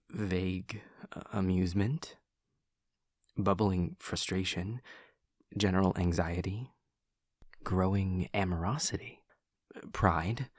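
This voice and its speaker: A man voicing an awkward, earnest demon classmate in an audio roleplay, speaking in halting, formal, overly literal phrases with a husky voice. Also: deep voice, no room sound, studio quality, exaggerated voice. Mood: awkward, apologetic. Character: awkward, earnest male demon classmate who senses emotions. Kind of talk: scripted audio roleplay to the listener.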